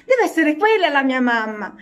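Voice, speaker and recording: A woman's voice: sits high, sing-song, normal speed, pitched above the performer's own voice. A woman voicing a bold, scatterbrained little girl duckling in a storybook, exclaiming in a childlike, pleading way. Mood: excited.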